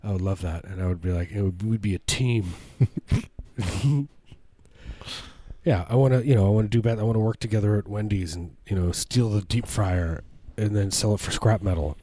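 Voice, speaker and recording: A man with a low baritone voice, co-hosting a podcast and riffing on absurd jokes in a deadpan, rambling way with many fillers. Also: normal speed, slight background noise. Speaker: podcast co-host. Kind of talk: deadpan absurd comedy banter.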